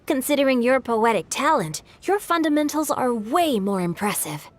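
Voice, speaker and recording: A woman's voice: high-pitched, big pitch swings, normal speed. A woman voicing a mischievous young woman who runs a funeral parlor in a video game, cheeky and sing-song.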